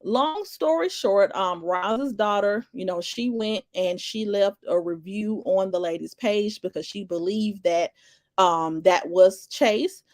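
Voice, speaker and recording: A woman's voice: mid-range voice, slow, darkish tone, no echo, studio quality. A woman giving casual live commentary, greeting viewers and rambling through restarts and fillers. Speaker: livestream commentator.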